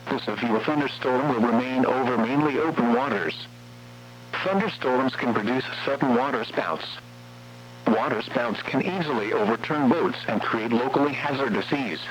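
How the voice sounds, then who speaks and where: A synthetic man's voice reading scripted weather warnings in a formal, measured, impersonal way, as an automated radio announcer. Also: mid-range voice. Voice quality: synthetic text-to-speech voice. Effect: radio broadcast. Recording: muffled weather radio with hum and noise.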